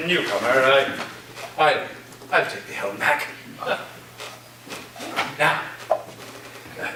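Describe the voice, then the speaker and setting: A man's voice: high voice, pushed and bright, heavily acted. A man playing an eccentric, commanding professor who speaks formally and theatrically, like a captain addressing his crew, in a staged reading. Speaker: actor in a staged play reading. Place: live staged reading in a reverberant room. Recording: echoey room, distant mic, faint noise.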